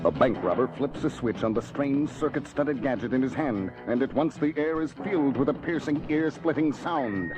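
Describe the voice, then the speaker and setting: Man as dramatic narrator, mid-pitched, suspenseful, ornate scripted delivery, 1970s superhero record, music behind. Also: animated, moderate pace, hardly any pauses, lightly acted, poor audio. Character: dramatic male storyteller of superhero adventures.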